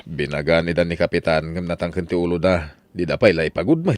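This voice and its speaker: An actor playing an exasperated, grumbling farmer in a comic radio drama, speaking with an Ilocano accent. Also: male voice, sits low, fast, dry recording, natural voice. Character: exasperated male farmer pleading with stubborn neighbours.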